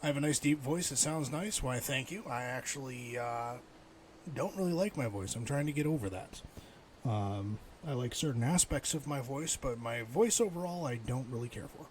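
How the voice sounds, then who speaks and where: A man's voice: medium pitch, moderate pace. Casual male game streamer over background noise. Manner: thinks aloud, muttering while playing and chatting with viewers. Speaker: livestreamer.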